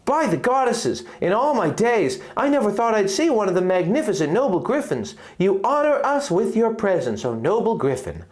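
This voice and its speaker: A man's voice: sits high, big pitch swings, normal speed, hardly any pauses, voice pushed up. A man voicing a gracious young princess who speaks in a formal, courtly and awed manner.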